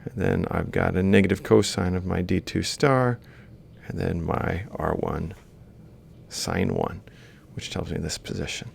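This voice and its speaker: Very creaky, low-voiced man lecturing. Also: measured pace, slight background noise. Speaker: university robotics lecturer. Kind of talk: informal step-by-step worked-example lecture. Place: screen-recorded lecture voice-over.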